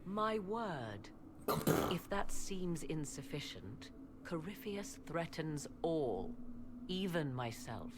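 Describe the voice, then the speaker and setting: Cunning fantasy game sorceress, haughty, formal, theatrical, lowish voice, noisy background. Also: female voice, very animated, slow, full character voice. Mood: cool, defiant. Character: haughty, cunning sorceress seeking forbidden power.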